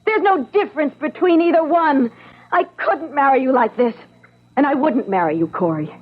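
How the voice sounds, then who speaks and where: High-voiced, distraught, pleading fiancée. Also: female voice, expressive, moderate pace. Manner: imploring, emotional. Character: distraught, pleading young fiancée, sensitive and moral. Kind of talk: old-time radio drama acting. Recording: tinny narrow vintage radio recording.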